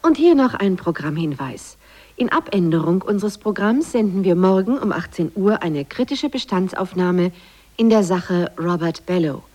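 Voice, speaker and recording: Low-voiced formal woman radio announcer. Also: animated, normal speed, a little mellow, soft background music. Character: composed female radio announcer. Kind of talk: scripted radio programme announcement within a drama.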